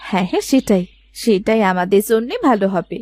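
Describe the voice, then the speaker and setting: A woman's voice: medium pitch, fairly quick, darkish tone, a little hiss or hum, close to an everyday voice. A woman playing a guarded, nervous village sister-in-law in a cartoon story, speaking in a chatty but evasive way. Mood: anxious, confiding. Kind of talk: dramatized Bengali cartoon story dialogue. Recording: music behind the voice.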